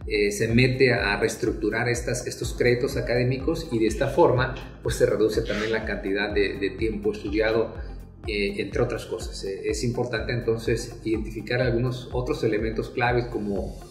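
A man's voice: lowish voice, fairly even intonation. Middle-aged guy lecturing, lots of ums and restarts, echoey little room. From Mexico. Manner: measured, hesitant, many fillers and restarts. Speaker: university lecturer. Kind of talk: academic talk to prospective students. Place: small plain room, indoors. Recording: echoey room, faint music behind.

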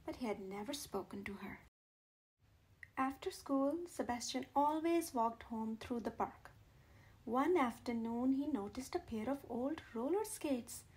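A woman with a high voice reads a picture book to children. Her storytelling is expressive and measured, with dramatic phrasing aimed at young listeners. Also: low-quality recording.

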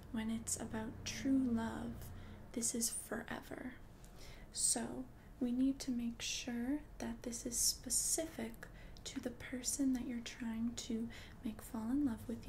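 Slow, hushed witch shopkeeper played by a woman. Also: fairly high pitch. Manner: hushed, soothing, deliberate. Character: gentle, helpful witch shopkeeper selling love potions. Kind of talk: soft-spoken ASMR roleplay. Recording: clear background noise and ambience.

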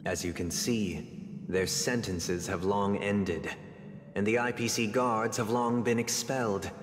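A man's voice: fairly low pitch, fairly even intonation, measured pace, lightly acted. An actor playing a grand male ruler in a video game, speaking in a lofty, declamatory way. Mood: solemn. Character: grand, solemn male lord proclaiming his rule. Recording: game audio with long reverb and music.

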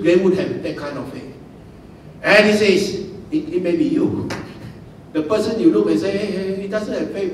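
A man, preaching a sermon on a handheld mic in a large church hall and swinging from booming shouts to quiet asides. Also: a little hiss or hum. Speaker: pastor. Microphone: handheld microphone. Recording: echoey hall, mic far off.

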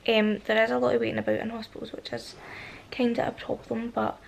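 A woman in her twenties with a Scottish accent, a husky voice from a cold, talking softly to the camera in a casual vlog with many ums. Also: on the high side, little pitch movement, brisk, slight background noise. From Scotland. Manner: soft and hushed, with many ums. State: has a cold. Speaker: vlogger. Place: indoors, at home in a bedroom, lying against a headboard. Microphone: phone or camera at arm's length.

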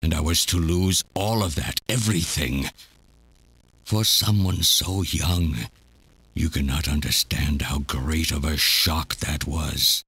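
An actor playing an elderly, obsessed scientist villain in a video game, delivering a bitter, deliberate, theatrical confession. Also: male voice, rumbling low, pushed and bright, husky, no room sound, heavily acted. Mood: bitter, grave. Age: older. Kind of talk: dramatic video game voice acting, monologue. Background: game music.

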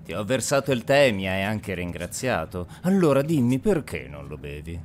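Man acting deep, intimidating, sarcastic gangster. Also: big pitch swings, moderate pace, slight background noise. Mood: mocking menace. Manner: mock-polite, menacing. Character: intimidating, coldly sarcastic older gangster toying with newcomer. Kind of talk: Italian anime fan dub.

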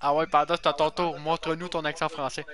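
A man's voice: a little high, level tone, fast, noisy background. A man with a Québécois French accent, joking and swearing casually while playing an online game with friends. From Quebec. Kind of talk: casual gaming chat.

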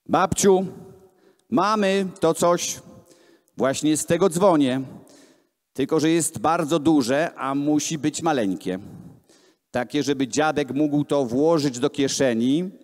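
A man voicing an eager, restless young inventor grandson in a storybook, excited and insistent, in an echoey hall. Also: fairly high pitch, slow pace, long pauses. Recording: echoey hall, amplified voice filmed from the room.

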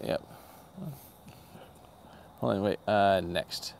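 A gravelly-voiced man in his forties, chatty and upbeat, hosts a casual livestream. He talks into a phone held at arm's length outdoors at night, and faint background noise runs under his voice. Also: deep voice, steady tone, drawn-out words, a little mellow. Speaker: channel host doing a livestream. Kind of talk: casual livestream chat with viewers. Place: outdoor parking lot at night.

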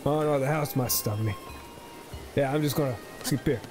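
A man's voice: mid-range voice, normal speed. A man giving casual, slangy, playful commentary as he plays a video game. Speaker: gaming host. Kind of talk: casual let's play commentary. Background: game music.

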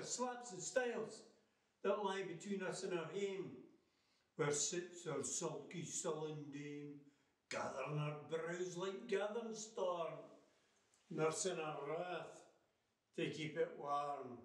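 Slow, high-pitched older Scottish man reciting. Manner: theatrical, emphatic, measured. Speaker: poetry reciter. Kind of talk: dramatic recitation of Scots narrative poetry. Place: living room with fireplace, indoors. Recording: roomy, distant microphone sound.

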